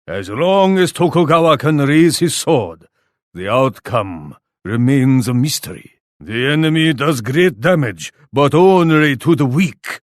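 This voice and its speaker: Proud warlord, dramatically swooping pitch. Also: male voice, no room sound, clean studio sound. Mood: proud, boastful. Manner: solemn, declamatory, speaks of himself in third person. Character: proud, grim Japanese warlord commanding his armies. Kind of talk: theatrical video game voice lines.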